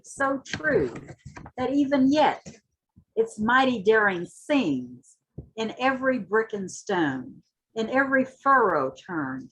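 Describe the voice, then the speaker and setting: Husky woman reciting poetry with expressive swings. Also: on the high side, rough recording. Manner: impassioned, emphatic, deliberate phrasing with drawn-out words. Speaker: reader at a community literary read-in. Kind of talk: introduction and dramatic poetry recitation.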